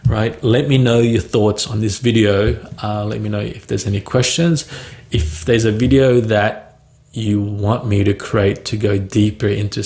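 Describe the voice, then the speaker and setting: A man's voice: fairly low pitch, a little mellow. A man who stutters, talking in a casual, free-flowing vlog, with blocks, repeated words and many "right?" tags. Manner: frequent "right?" and "um" fillers. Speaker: vlogger on stuttering.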